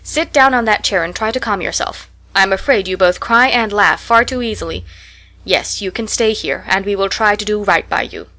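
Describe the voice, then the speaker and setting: A woman voicing a strict, disapproving middle-aged spinster guardian in an audiobook, brisk and immovable. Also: mid-range voice, pushed and bright. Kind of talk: audiobook character voice.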